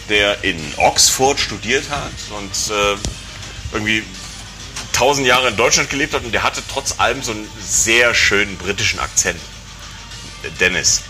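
Rambling middle-aged man, low voice over music. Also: pushed and bright. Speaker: conversation participant. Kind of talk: casual chat.

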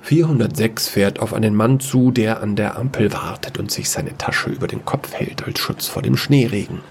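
A man's voice: lowish voice, gravelly, a little mellow, a little hiss or hum. A man reading scripted narration for a science-fiction fairy tale audio story.

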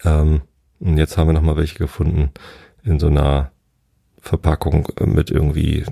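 A man of forty-five telling a travel story casually, in a relaxed, rambling way and often pausing to search for words. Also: rumbling low, vocal fry, breathy edge.

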